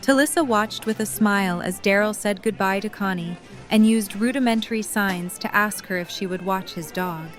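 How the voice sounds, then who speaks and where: A woman's voice: medium pitch, moderate pace, hardly any pauses, soft background music. A woman narrating a fan audio drama, reading scripted story prose.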